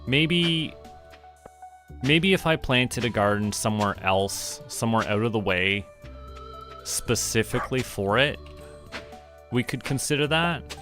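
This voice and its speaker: A man's voice: mid-range voice, expressive, a little slow, pauses often, bright, forceful tone. A man giving casual livestream game commentary, musing to himself in short remarks. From Canada. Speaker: VTuber streamer. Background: background music.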